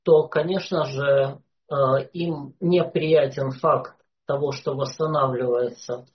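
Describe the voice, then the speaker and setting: Unhurried, halting lecturer with mid pitch, many filler pauses, dull lo-fi recording. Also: fairly even intonation. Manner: hesitant, frequent "uh" fillers. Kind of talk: unscripted lecture.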